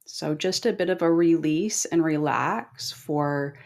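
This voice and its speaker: A middle-aged woman leading a guided meditation in a calm, gentle, instructing manner with long pauses. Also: low voice. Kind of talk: guided body scan meditation.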